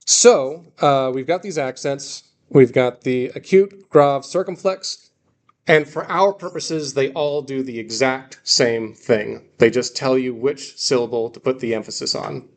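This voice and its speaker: A man's voice: mid-range voice, measured pace. A man giving an informal classroom lecture as a language teacher, reassuring, repeating key points and often checking with "okay" or "right.".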